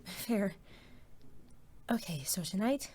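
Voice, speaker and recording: A woman's voice: mid-range voice, animated, slow pace, a little mellow, breathy edge. Woman acting an anxious, hesitant teenage girl. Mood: nervous, wry. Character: anxious, self-doubting teenage girl student secretly a superhero. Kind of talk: audio drama acting with first-person narration.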